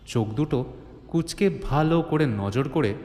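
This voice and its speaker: A man's voice: fairly high pitch, expressive, a little slow, darkish tone. A man narrating a scripted horror-comedy story in a theatrical, suspenseful style. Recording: reverberant, faint ambient noise.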